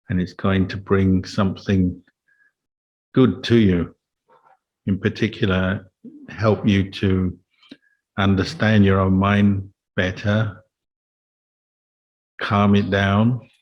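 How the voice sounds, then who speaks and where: A man speaking as a meditation teacher, giving calm, measured guidance in a Buddhist talk. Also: low-pitched, dull audio. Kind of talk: guided meditation and Dhamma talk.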